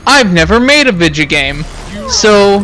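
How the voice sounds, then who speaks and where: High-pitched, bright-voiced man streaming games. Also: very animated, measured pace, faint music behind. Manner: rambling, repeats himself. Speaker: game streamer. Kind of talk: casual gaming chat.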